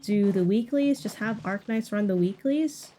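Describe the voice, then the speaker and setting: Mellow-voiced woman streaming a game. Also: mid-range voice, moderate pace, a little hiss or hum. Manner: rambling, conversational. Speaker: livestreamer. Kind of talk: casual gameplay chat with stream viewers. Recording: game music playing behind voice. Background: video game music.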